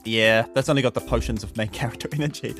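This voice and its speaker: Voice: medium pitch, fairly even intonation, quick pace, fairly bright tone, faint music behind. A player with a New Zealand accent, chatting casually during a tabletop game.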